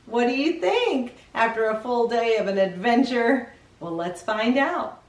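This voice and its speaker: A woman reading a story aloud for children as host, expressive and speaking directly to young listeners. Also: fairly high pitch, unhurried.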